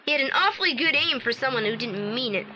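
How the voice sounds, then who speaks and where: High-pitched, excitable boy detective. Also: fast. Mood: keen. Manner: blunt, grumbling, colloquial. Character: excitable, wary teenage boy sidekick detective. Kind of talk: 1980s audio drama acting. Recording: slightly noisy old audio play transfer.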